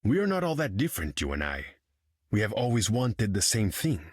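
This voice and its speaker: A proud, regal rival in a video game. His voice is fairly low and husky, sliding expressively through formal, smug, insinuating phrases. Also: wildly swooping pitch, brisk, no room sound, clean studio sound, heavily acted. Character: haughty, sly, regal male rival.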